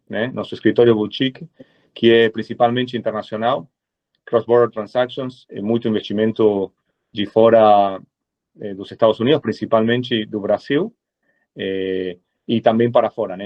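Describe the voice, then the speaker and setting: Argentine man, low voice, dull audio. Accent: Argentine Spanish. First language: Spanish. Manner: measured, many hesitant "eh" fillers. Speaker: lawyer guest. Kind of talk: webinar presentation.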